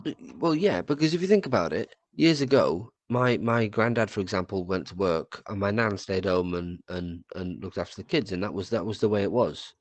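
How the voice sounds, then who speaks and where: A man's voice: lowish voice, expressive, a little fast, hardly any pauses, dry recording. A man with a cold, co-hosting a podcast in casual chat, swearing and tripping over his words. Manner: rambling, swears, stumbles and repeats words. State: has a cold. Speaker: podcast co-host.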